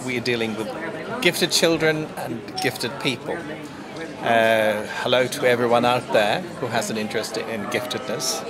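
A middle-aged man speaks casually to camera as a conference attendee. His mid-pitched voice sits in a large lobby with clear crowd chatter behind him. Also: steady tone, moderate pace. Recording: busy public hall with chatter.

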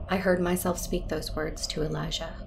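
A woman playing an anguished former Jedi Guardian trapped inside their own mind, narrating an audio drama with deliberate, dramatic intensity. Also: low voice, little pitch movement, gravelly. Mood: haunted. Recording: noisy ambient sound bed.

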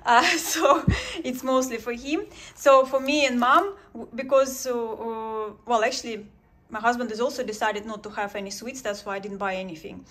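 A woman's voice: a little high, fairly even intonation, normal speed, a bit bright. A woman vlogger chatting casually through a grocery haul at home, hesitant, with many ums and self-corrections. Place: home, indoors at a table.

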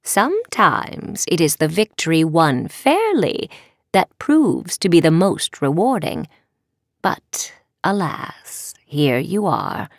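A woman voicing a stern, knowing witch professor in a storybook, speaking formally and deliberately. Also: lowish voice, dry recording, clean studio sound, full character voice. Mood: gently admonishing.

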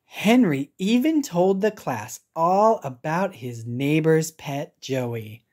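A woman's voice: deep voice, animated, slow, mellow. An American woman teacher reading a children's picture book aloud, expressively, with simple asides and reactions for young learners.

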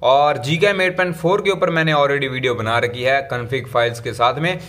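Male tech vlogger, relaxed chatty voice-over, mid pitch, switches freely into English terms. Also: fairly quick. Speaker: tech reviewer. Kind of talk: casual tech review walkthrough.

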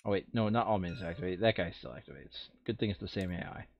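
A man's voice: low voice, expressive, quick pace, vocal fry, highs cut off. A man playing a board game over voice chat, thinking aloud casually and muttering counts and rules to himself.

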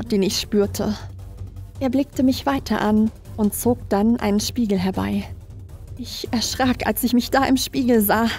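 A woman's voice: on the high side, darkish tone. A woman reading scripted first-person horror narration as a creepypasta narrator. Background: background music.

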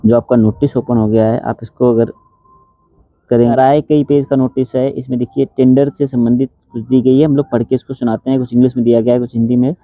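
A man's voice: mid-range voice, steady tone, a little fast, no echo. Man on a muffled phone-like recording. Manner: explanatory, addresses viewers directly. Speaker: exam-prep channel host. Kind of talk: informal news update, reading out an official notice. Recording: dull phone-like sound, dry.

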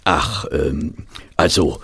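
An actor playing a proper, sceptical gentleman companion to a detective in a German audio drama, polite and dryly indignant. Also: extremely low pitch, slow, breathy edge, exaggerated voice. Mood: wry, doubtful.

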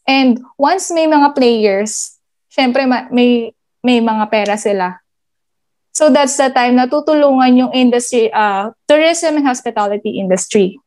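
Filipino lady guest on a video call, kinda high voice, mixes languages, lots of uhs. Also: unhurried, a little mellow. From Philippines. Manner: code-switching, hesitant with fillers. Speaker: guest, gaming industry supervisor. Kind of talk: interview answers over video call.

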